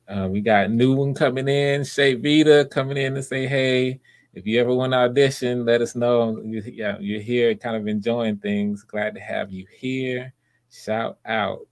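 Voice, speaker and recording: Low-pitched man, wordy encouraging host, casual livestream chat. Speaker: host of online voice auditions.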